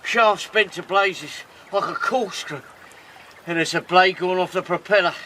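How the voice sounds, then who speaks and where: A coarse, fond boatman. His voice is high and sharp, blunt in speech. Faint noise sits beneath the radio play. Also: measured pace, poor audio. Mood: glum. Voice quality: coarse working-class speech, blunt and teasing. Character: rough cockney boat mechanic, gruff but fond. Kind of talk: radio drama acting.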